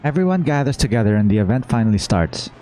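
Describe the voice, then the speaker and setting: A man's voice: medium pitch, very animated, fast, mellow, slight background noise, low-quality recording. A man doing casual live gameplay reaction commentary as a streamer, playful and joking. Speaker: game streamer. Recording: faint game music behind.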